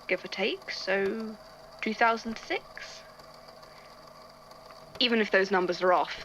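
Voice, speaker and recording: A woman's voice: mid-range voice, fairly bright tone, muffled recording, slight background noise. A woman playing an irritable, bookish male archivist in an audio drama, speaking hesitantly into a recorder and thinking aloud. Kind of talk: audio drama narration, recorded case notes.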